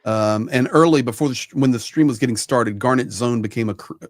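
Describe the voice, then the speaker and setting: A man's voice: medium pitch, a little fast, dry recording, clean studio sound. A man co-hosting a live online stream, agreeing emphatically, adding his own points and thanking viewers. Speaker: co-host. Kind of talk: casual live chat.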